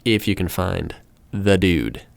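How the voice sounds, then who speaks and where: A man's voice: low voice, takes it slowly, vocal fry, dry recording. A man co-hosting a casual podcast, playfully quizzing his co-host with trivia questions. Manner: lively, playful quizmaster.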